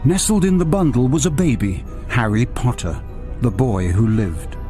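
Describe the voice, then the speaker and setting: An actor narrating a fantasy video game like a storybook. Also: male voice, medium pitch, sing-song, moderate pace, natural voice. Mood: storytelling. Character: storybook narrator telling a wizard boy's tale. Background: music.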